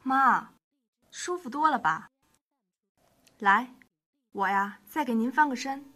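A woman playing a proud, hard-working working-class wife with a sharp tongue in a radio drama. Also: fairly high pitch, slow pace, full character voice. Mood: gentle, caring. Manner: indignant, scolding, sharp-tongued. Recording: amateur radio drama with music underneath.

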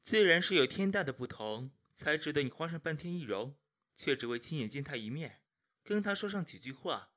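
Man acting a wry, lively, higher-voiced male confidant. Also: brisk. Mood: wry teasing. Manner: wry, articulate, needling. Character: teasing, sharp-tongued male confidant of an imperial official. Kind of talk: Chinese costume audio drama acting.

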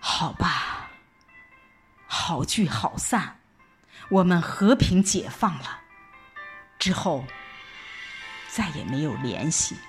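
A woman narrates a first-person drama in an emotive, theatrical way. Her pace is slow and her pitch moves widely. Music plays softly behind her voice. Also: lively intonation. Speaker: audiobook narrator.

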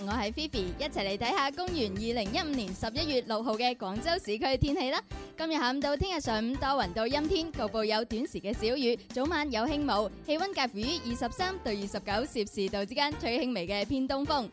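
A woman's voice: high voice, lively intonation, fast, music in the background. A woman with a Guangzhou Cantonese accent presenting a scripted radio weather report.

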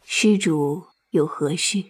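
A woman's voice: deep voice, slow pace, husky, heavily acted, poor audio. A woman playing a grave, sorrowful older Buddhist nun master, solemn and emotional, in a Chinese period audio drama. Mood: reserved.

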